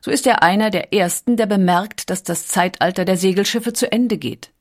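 A woman's voice: mid-range voice, normal speed. A woman delivering scripted narration as a documentary narrator.

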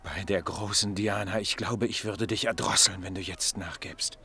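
An actor playing a worldly, sceptical Roman captain and devoted lover in a German radio play, earnest and sardonic. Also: male voice, low voice, fairly even intonation, moderate pace, vocal fry, bright, forceful tone, full character voice. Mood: impassioned.

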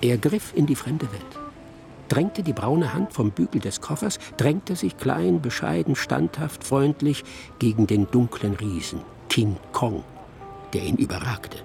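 A man narrating a literary radio play in a husky, rough voice. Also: mid-range voice, sing-song, measured pace, warm tone, faint music behind.

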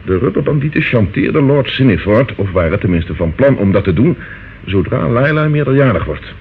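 Animated, probing police detective. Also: male voice, medium pitch, fairly quick. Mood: earnest, urgent. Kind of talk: radio play acting. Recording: muffled, noisy old radio recording.

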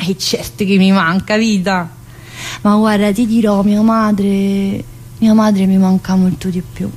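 A woman playing a chatty, warm-hearted, middle-aged female prison inmate in a theatrical monologue, meandering and full of little "eh"s. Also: medium pitch, flat delivery, slow pace. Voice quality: chatty and meandering, studded with "eh" and direct address. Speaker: stage actor in a solo play.

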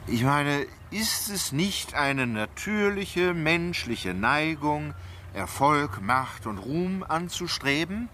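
A man's voice: fairly high pitch, sing-song, slow pace, bright, forceful tone, slight background noise, exaggerated voice. An actor playing a restless, brooding male writer who needles his friend, in naturalistic radio drama dialogue. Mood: brooding, searching.

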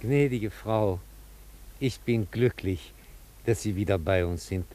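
An actor playing a courteous, gently devoted gentleman in a German radio drama, speaking softly and formally. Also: on the low side, slow pace. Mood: tender, pleased. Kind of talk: German radio play acting.

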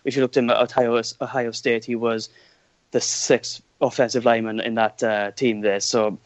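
A man with a Welsh accent, a guest scout on a sports podcast, giving his measured views with hesitant restarts. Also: medium pitch, flat delivery, no echo. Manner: measured, hesitant, starts over. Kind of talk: casual podcast chat. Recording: dry, dull lo-fi sound.

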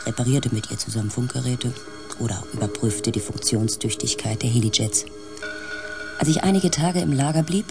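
An actor playing a sober male expedition scientist in a radio drama, speaking in a measured, reflective and deliberate way. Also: mid-range voice, lightly acted. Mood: matter-of-fact. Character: sober expedition scientist, narrator of an alien planet journey. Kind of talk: scripted radio drama narration and dialogue.

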